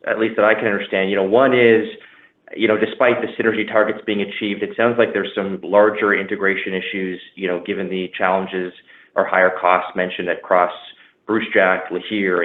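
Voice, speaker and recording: A man speaking as a financial analyst, putting a question on an earnings call. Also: lowish voice. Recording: echoey phone-like line.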